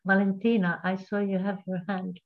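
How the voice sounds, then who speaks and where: A woman presenting a workshop, guiding the group in a slow, gentle cadence over a video call. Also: mid-range voice, flat delivery. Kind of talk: guided meditation and group talk. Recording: tinny call audio.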